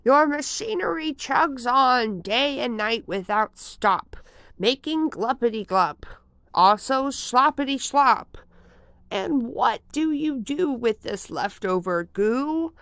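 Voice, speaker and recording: A woman voicing an indignant old male creature who guards nature, scolding and pleading with drawn-out, emphatic words in a storybook reading. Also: on the high side, lively intonation, a bit bright, no room sound, voice pushed up, exaggerated voice. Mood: snappish, angry.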